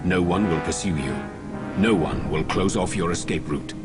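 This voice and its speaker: Reverberant hall, man acting as very low-pitched military commander, grave, clipped, formal, loyal, video game. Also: moderate pace, over loud music, close to an everyday voice. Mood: firm, formal. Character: grave, loyal male Sith strike team commander.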